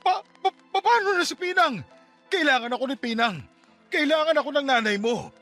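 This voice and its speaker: A man's voice: very high voice, animated, brisk, bright, forceful tone, heavily acted. An actor playing a middle-aged, manipulative stepfather who begs and whines with a Filipino accent in a radio drama. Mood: desperate pleading. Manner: whining, pleading. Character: pleading, manipulative abusive stepfather begging for forgiveness. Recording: music under the voice.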